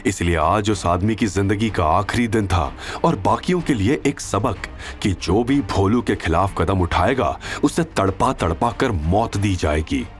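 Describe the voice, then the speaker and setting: A man's voice: lowish voice, lively intonation, unhurried, few pauses, faint music behind. A man narrating a scripted audio drama in a dramatic storytelling style.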